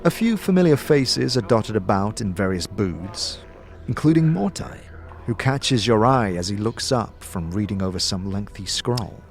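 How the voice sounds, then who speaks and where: Lowish expressive male narrator over music. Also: moderate pace. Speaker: game master and narrator. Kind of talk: scripted second-person fantasy audio drama narration.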